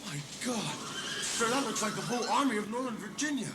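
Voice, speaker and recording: An actor playing an alarmed Union cavalry scout in an audio drama, exclaiming urgently. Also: male voice, high voice, animated, brisk. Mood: alarmed, awed. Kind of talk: dramatized historical audio tour scene. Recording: very noisy outdoor-style scene, horses whinnying.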